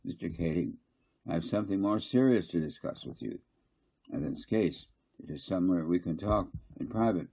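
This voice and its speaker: A man's voice: low-pitched, animated, moderate pace, creaky, dark tone, slightly rough, exaggerated voice, low-quality recording. A man voicing a worried, brassy land developer in a storybook, grave and grumbling.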